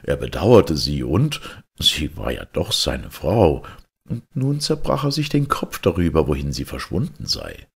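A man reading a fairy tale as a storyteller, in a dramatic, expressive and deliberate manner. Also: a bass voice, gravelly, slightly rough.

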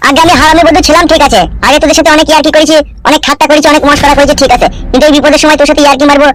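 A man's voice: very high voice, level tone, very fast, fairly bright tone. A man voicing a homeless, self-pitying, foul-mouthed village man in a comedy cartoon, whining and ranting in a rural West Bengal accent. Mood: aggrieved. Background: music.

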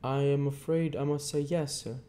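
A man's voice: mid-range voice, steady tone, moderate pace, warm tone, pitched above the performer's own voice, lightly acted. A man voicing a nervous, deferential young man who answers politely and hesitantly.

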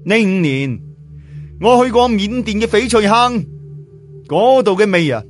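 A man's voice: sits high, expressive, a little slow, soft background music, voice pushed up. A man voicing a confident young male challenger in an audio story, with a cocky, assured manner.

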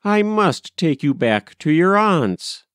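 A man's voice: on the high side, sing-song, slow pace, a bit bright, clear, resonant tone, no echo, clean studio sound, voice pushed up, heavily acted. A man voicing a proper, practical, flustered mother in a storybook, genteel and given to exclaiming. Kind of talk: storybook character voice.

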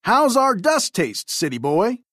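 A woman's voice: mid-range voice, big pitch swings, unhurried, fairly bright tone, no room sound, clean studio sound, voice pushed up. A woman voicing a brash, challenging male off-road racing car, leader of a pack, in a storybook.

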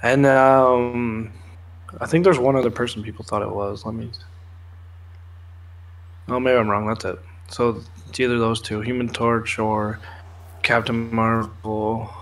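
A young man talks as co-host of an informal entertainment news show. His pitch is middling and stays quite flat. He explains things in a casual, sweary way, sitting in a room at home. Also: unhurried, long pauses. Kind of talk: casual chat, explaining film trailers and comics.

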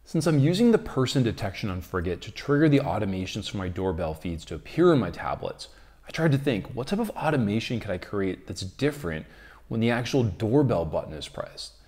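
Guy in his 30s doing a tech how-to video, voice a bit on the low side. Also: very animated, fairly quick, darkish tone, breathy edge, faint music behind. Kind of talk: explanatory tech tutorial, presenting to camera and in voice-over.

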